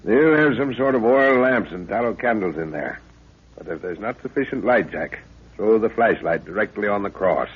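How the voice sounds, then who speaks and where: A middle-aged professor leading an expedition, calm, deliberate and commanding. His low, roughened voice comes through a dull, vintage 1930s radio recording. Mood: resolute. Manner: deliberate, authoritative, explanatory. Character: level-headed professor, father and expedition leader. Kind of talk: 1930s radio drama acting.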